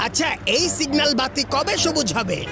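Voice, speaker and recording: Cartoon policeman, bossy, excitable, high-pitched, commanding, loud music behind. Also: brisk, vocal fry. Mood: irritated, commanding. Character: blustering, bossy male cartoon police officer. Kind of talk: exaggerated cartoon dub acting.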